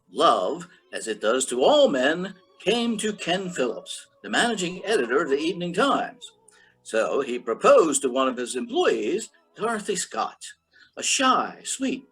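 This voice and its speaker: A man's voice: mid-range voice, a bit bright, breathy edge, exaggerated voice, poor audio. A man playing a grandiose, melodramatic announcer in an old-time radio play, relishing his words theatrically. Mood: wry storytelling. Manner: grandiloquent, drawn-out alliteration.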